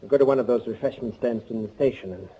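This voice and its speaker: A man's voice: medium pitch, quick pace, mellow, natural voice. An actor playing a cautious, commanding man on the run in 1940s radio thriller style, clipped, urgent and protective. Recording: faintly noisy 1940s radio recording.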